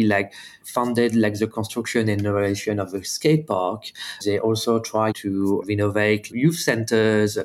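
Male interview guest, lowish voice. Informal explainer delivery, lots of filler "like". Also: darkish tone.

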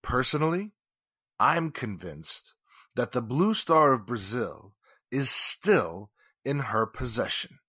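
Male actor as wealthy, suspicious 1940s radio-drama businessman; sharp bright tone, slow emphatic delivery, formal and indignant. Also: medium pitch, big pitch swings, slightly rough, no room sound, studio quality, exaggerated voice. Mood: indignant, accusing. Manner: deliberate, emphatic, formal phrasing.

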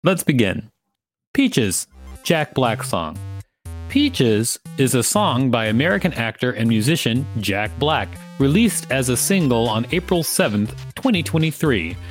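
A man co-hosting a podcast, reading encyclopedia text aloud and adding casual commentary. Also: medium pitch. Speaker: podcast co-host.

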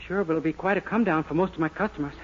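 Man playing cheerful naive young small-town clerk, American, boyish, high voice, self-pleased, faintly noisy old radio sitcom. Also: rapid-fire, close to an everyday voice. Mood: eager. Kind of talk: 1940s radio situation comedy acting. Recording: muffled, noisy 1940s radio recording.

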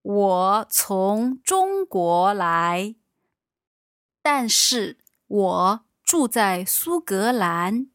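A woman from China, a native Mandarin speaker, teaching on a podcast with deliberate model sentences. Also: mid-range voice, very animated, a bit bright, no room sound, studio quality. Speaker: language teacher. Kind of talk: podcast language lesson.

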